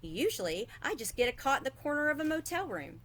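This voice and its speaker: A woman's voice: high voice, big pitch swings, fast, a bit bright, voice pushed up. A woman reading a storybook voices an eager, earnest young boy.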